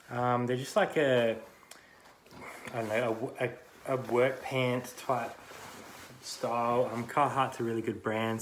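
Casting: Australian man, thirties, laid-back vlogger, mid pitch, phone mic with clear background hum. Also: reverberant, poor audio. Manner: relaxed, many ums, chatty. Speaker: vlogger and reseller. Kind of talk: casual vlog chat. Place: car, then a small living room. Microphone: phone camera at arm's length.

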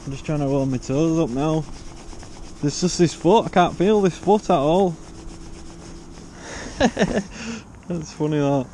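A man's voice: a little high, unhurried, pauses often, dark tone, a little hiss or hum. A young man with an English accent chatting casually and in slang as he fishes outdoors, picked up on an action camera. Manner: informal, slangy. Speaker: angler hosting a fishing vlog. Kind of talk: casual chat while fishing. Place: outdoors on a riverbank. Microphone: body-worn action camera.

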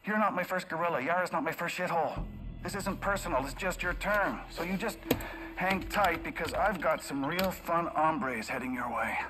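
A man's voice: a little high, moderate pace, over music, lightly acted, low-quality recording. An actor playing a smug Canadian businessman villain in a video game, sardonic and mock-friendly, with a Canadian accent. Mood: smug, menacing. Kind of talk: video game cinematic acting.

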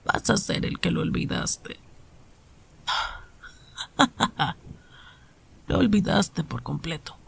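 A man acting an animated, raspy anime hero. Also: high voice, slow pace, pauses often, full character voice. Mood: wistful, pleading. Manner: exclamatory, teasing, swinging from loud to hushed. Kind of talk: anime fan-dub ASMR roleplay.

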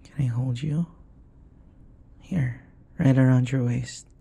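A man playing an affectionate, teasing young boyfriend in an intimate comfort audio roleplay, speaking in a soft, tender murmur. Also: medium pitch, fairly even intonation, slow, gravelly, husky, full character voice.

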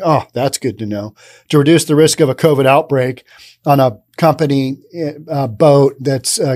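A man in his thirties hosting a podcast, giving casual, sarcastic commentary on the news into a studio boom mic. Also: a little mellow. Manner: sarcastic, acts out imagined dialogue. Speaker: podcast host. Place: dim studio with brick wall and neon sign.

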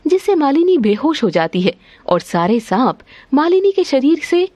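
A woman's voice: high voice, sing-song, moderate pace, dark tone, no echo. A woman narrating a scripted cartoon story in a dramatic style.

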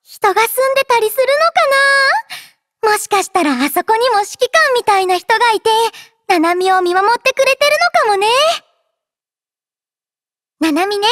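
Anime game android girl, extremely high voice, lively swings, childlike, playful, boastful. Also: no echo, clean studio sound, exaggerated voice. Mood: bright, curious. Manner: playful, childlike, refers to herself by name. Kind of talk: anime game character voice lines. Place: dry studio.

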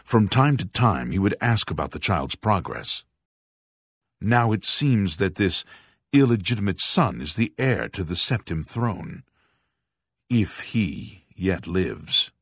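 A man playing a grave aging monk and former guard captain in a fantasy video game, speaking formally and deliberately with a husky voice. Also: low voice, very animated, fairly bright tone, no echo, studio quality, heavily acted. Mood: solemn. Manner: formal, solemn, deliberate enunciation.

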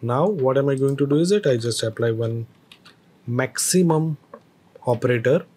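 A man teaching a screen-recorded software tutorial, explaining each step with hesitant phrasing and fillers. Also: mid-range voice, moderate pace, slightly rough.

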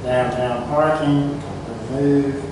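Man, meeting chair, terse agenda readout, unhurried pace, distant mic, noticeable noise. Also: mid-range voice, fairly even intonation. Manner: terse, item-by-item delivery. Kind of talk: running through a meeting agenda. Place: small council meeting room, indoors. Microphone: far camera microphone. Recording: distant mic, echoey room, clearly noisy.

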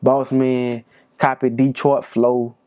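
A man in his thirties interviews in a loose, conversational, slangy way. His voice sits at an average pitch, recorded dry with a slightly dull top end. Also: level tone, takes it slowly, mellow, dry recording. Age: 30s. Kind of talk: casual interview chat.

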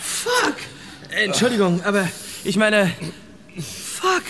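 A man's voice: high voice, sing-song, slow pace, a crowd in the background, heavily acted. An actor playing a jittery, squeamish but eager man, stammering and babbling in an audio crime drama. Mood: breathless, shocked.

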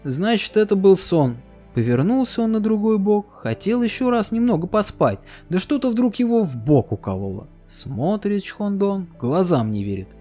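A male storyteller reads a fairy tale with lively, expressive delivery. His voice sits a little high for a man yet sounds mellow and warm, swinging widely in pitch. Gentle music plays softly beneath him. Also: normal speed, hardly any pauses. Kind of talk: storybook narration. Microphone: voice-over. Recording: soft music behind.

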